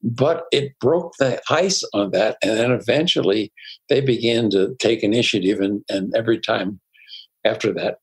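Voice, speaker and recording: An older man, a psychologist guest, telling personal stories in a reflective, unhurried way with soft laughs. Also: on the low side, vocal fry, breathy edge, rough recording. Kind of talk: conversational interview storytelling.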